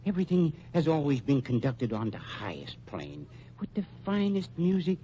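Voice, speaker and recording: A smooth, sinister undertaker speaks with prim, wounded courtesy and sweeping intonation. His tone is mellow and his formality menacing, on a muffled, noisy old radio drama recording. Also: on the high side, very animated. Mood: oily, accusing. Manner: over-polite, prim, mock-hurt, drawn-out formal phrasing. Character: unctuous, sinister male funeral director and underworld schemer.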